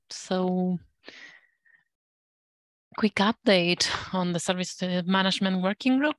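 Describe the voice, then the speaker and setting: Low-pitched woman, unhurried and clear. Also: a bit bright, dry recording. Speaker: working group lead giving a status update. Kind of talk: spoken status report in an online meeting. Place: online group call.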